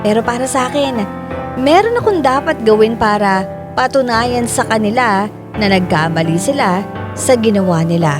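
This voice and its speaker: Female narrator played by an actress for radio drama: earnest, indignant first-person storytelling over a clear music bed. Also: fairly high pitch, expressive, moderate pace, natural voice. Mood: hurt, resolute.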